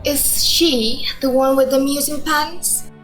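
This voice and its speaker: A woman's voice: high-pitched, steady tone, unhurried, a bit bright, faint music behind. A woman playing an earnest, probing, reproachful wife in a radio drama. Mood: probing, insistent. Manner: earnest, insistent.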